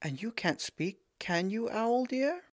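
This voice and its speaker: A man's voice: high voice, expressive, no echo, studio quality, voice pushed up. A man doing a young regal princess. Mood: playful. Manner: clear ringing tones, slow and stately.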